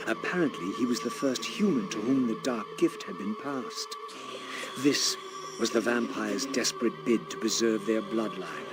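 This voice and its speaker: An actor playing a brooding undead wraith in a video game, narrating with formal, eloquent, theatrical diction and a solemn manner. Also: male voice, medium pitch, natural voice. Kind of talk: dramatic scripted game narration.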